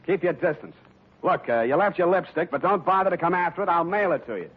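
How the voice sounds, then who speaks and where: Wry hard-boiled radio private eye, dull vintage sound. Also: male voice, high-pitched, quick pace, breathy edge. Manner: terse, deadpan. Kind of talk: 1940s radio detective acting and narration. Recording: dull 1940s radio recording, background music.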